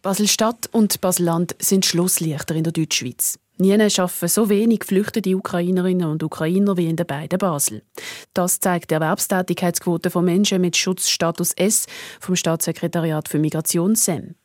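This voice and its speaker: A woman's voice: fairly low pitch, fairly even intonation, normal speed, darkish tone, no echo, clean studio sound. A woman with a Swiss German accent reading a scripted radio news report.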